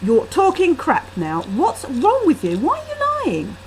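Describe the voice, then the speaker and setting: A woman's voice: high voice, huge pitch swings, measured pace, voice pushed up, exaggerated voice. A woman voicing an irritable, disdainful young female graduate in a short story. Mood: angry. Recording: faintly noisy laptop webcam microphone.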